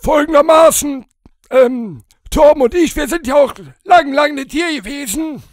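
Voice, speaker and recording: A brash male podcast character with an extremely high voice. He banters in a crude, blunt, deadpan way, recorded very dry. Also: normal speed, no echo. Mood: deadpan, cocky.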